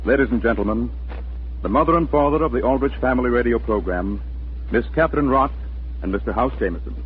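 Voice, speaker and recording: A man's voice: medium pitch, quick pace. A man announcing on 1940s radio in a formal, dramatic, declamatory style of scripted narration. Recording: noisy, tinny 1940s radio broadcast.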